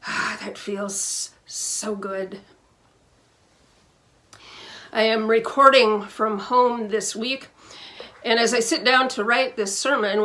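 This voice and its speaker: A woman minister speaks at a middle pitch, recorded at home. She delivers a scripted welcome for a church service, phrasing it in a measured and deliberate way. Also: fairly bright tone.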